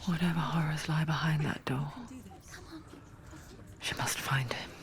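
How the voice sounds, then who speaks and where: A woman voicing an eerie, intimate spirit narrator in a video game, breathy, hushed and ominous as she confides in the listener. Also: low-pitched, fairly even intonation, unhurried, a crowd in the background. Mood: ominous, confiding. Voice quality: whispered, breathy. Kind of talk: whispered dramatic game narration.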